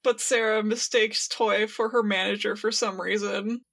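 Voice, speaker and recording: A woman's voice: medium pitch, fairly even intonation, moderate pace, gravelly, a bit bright. A woman co-hosting a podcast, talking in a playful, informal and emphatic way in a casual fan chat. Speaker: podcast co-host.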